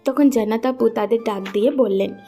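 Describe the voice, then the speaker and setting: A woman's voice: fairly high pitch, a little fast, warm tone, soft background music. A woman narrating a scripted love story as its storyteller.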